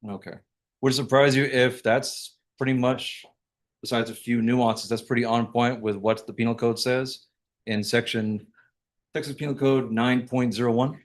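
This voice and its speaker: A man's voice: mid-range voice, steady tone, normal speed. A man working as a prosecutor, cross-examining a witness in court in an informal, probing, plain-worded way.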